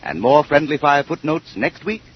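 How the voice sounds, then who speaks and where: Male American announcer, 1930s radio style, fairly high voice, muffled noisy broadcast sound. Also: animated, normal speed. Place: radio studio.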